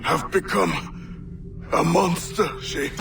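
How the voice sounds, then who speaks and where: A man's voice: a little high, slow pace, creaky, far from the mic, heavy background noise, heavily acted. A man playing a proud, defiant older assassin captain in a video game, grave and scornful in his dramatic delivery. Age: middle-aged.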